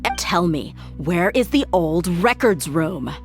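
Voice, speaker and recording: A woman's voice: lowish voice, expressive, slow pace. A woman voicing a tough, blunt female desert mercenary in a video game cutscene, commanding with dry sarcasm. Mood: cold. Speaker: mercenary lead character. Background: game music.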